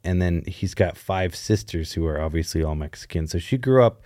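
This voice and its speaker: A man's voice: deep voice, animated, moderate pace, dark tone, dry recording, clean studio sound. A man co-hosting a podcast in casual chat, rambling and joking, often interrupting himself. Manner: rambling, self-interrupting, jokey. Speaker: podcast co-host.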